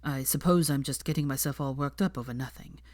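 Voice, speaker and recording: A woman doing a low, troubled young man. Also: fairly quick, darkish tone, dry recording, studio quality. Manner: low, subdued, breathy delivery. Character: anxious young man, strained, distressed.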